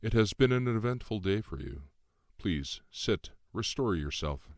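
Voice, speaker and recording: Man voicing a deep, measured, cryptic spirit guide. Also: expressive, creaky, no room sound, studio quality. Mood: courteous. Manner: measured, formal, explanatory. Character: calm, cryptic shape-shifting guide spirit in male form. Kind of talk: audiobook character dialogue.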